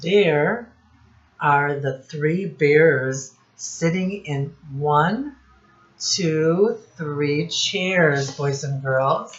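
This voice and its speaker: A woman teacher reading a picture book aloud to young children in deliberate, separated phrases, asking them questions as she goes. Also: low-pitched. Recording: dull, muffled lo-fi recording.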